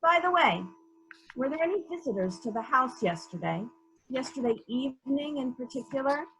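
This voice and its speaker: Woman acting a lady detective, brisk n sceptical, higher bright voice, murder mystery reading. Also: expressive, low-quality recording. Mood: suspicious, musing. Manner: probing, businesslike, sceptical. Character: brisk, suspicious female police investigator questioning witnesses. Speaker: library staff member reading a part.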